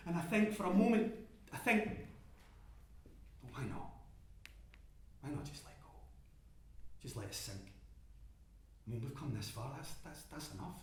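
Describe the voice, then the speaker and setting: An actor playing a gruff rig worker who fights to survive, swearing hard and swinging between despair and defiance. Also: male voice, sits high, a little fast, very echoey, slight background noise, lightly acted. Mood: brooding. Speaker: oil rig worker in a stage drama. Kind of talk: dramatic monologue acting.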